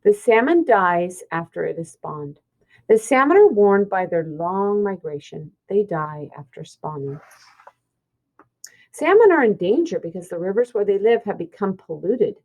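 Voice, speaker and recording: A woman's voice: mid-range voice, lively intonation, a little slow, phone-quality sound. A woman teacher reading a children's science book aloud, explaining and pointing things out simply.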